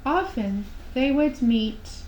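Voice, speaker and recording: A woman narrates a children's tale at a very slow, careful pace, pausing gently between phrases. Her voice sits a little high. It echoes around the room, with some background noise. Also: expressive. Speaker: puppet show storyteller. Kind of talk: children's storytelling, scripted narration. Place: indoor room at a live puppet show. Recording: roomy echo, clear background noise.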